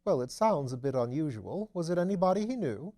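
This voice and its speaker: A man's voice: a little high, sing-song, brisk, warm tone, voice pushed up. A man voicing an amiable aristocratic detective in an audiobook, breezy, leisurely and courteous. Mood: lively, curious. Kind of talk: audiobook character dialogue.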